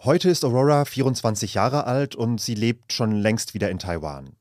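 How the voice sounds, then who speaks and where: A man hosting a news podcast, narrating a scripted report in a calm, measured way from an office. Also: mid-range voice, no echo, clean studio sound. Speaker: podcast host.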